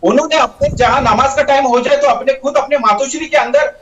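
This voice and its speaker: A roomy, muffled recording of a man some way from the microphone. He speaks in a high-pitched voice, arguing insistently as a political spokesman in a debate and hammering his points by repetition. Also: fairly even intonation, fairly quick, fairly bright tone, a little hiss or hum. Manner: insistent, repetitive, uses tag phrases. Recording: roomy, dull, distant microphone.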